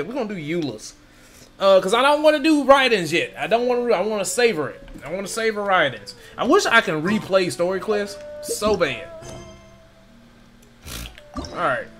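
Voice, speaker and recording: Male gaming streamer, high voice, lively swings in pitch, informal, thinking aloud, music underneath. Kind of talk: casual gameplay commentary. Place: behind: game music.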